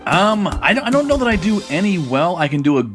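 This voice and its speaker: An American man co-hosting a casual podcast, halting and self-deprecating. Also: sits high, big pitch swings, music in the background. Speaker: podcast co-host.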